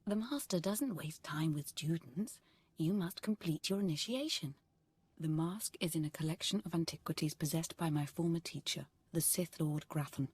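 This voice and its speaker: A woman playing a fervent, obsessed cult devotee in a video game, speaking in a reverent, measured, breathy way. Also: deep voice, darkish tone, dry recording. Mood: solemn, fervent. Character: fervent, obsessed female Sith cult devotee, soft-spoken zealot. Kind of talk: scripted video game voice acting.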